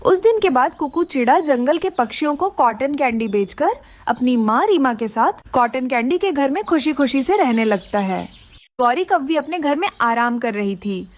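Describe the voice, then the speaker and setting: A woman's voice: high-pitched, lively intonation, moderate pace. A woman narrating a scripted children's story.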